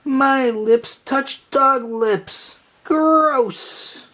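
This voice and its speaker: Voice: high voice, drawn-out words, pushed and bright, a little hiss or hum, pitched above the performer's own voice, heavily acted. A storybook reader voicing a bossy, exasperated and scornful young girl who speaks emphatically. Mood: disgusted.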